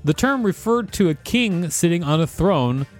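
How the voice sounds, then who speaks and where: A man's voice: high voice, lively intonation, moderate pace, faint music behind. A man hosting a podcast, reading an encyclopedia page aloud and commenting with playful, theatrical flair. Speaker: podcast host.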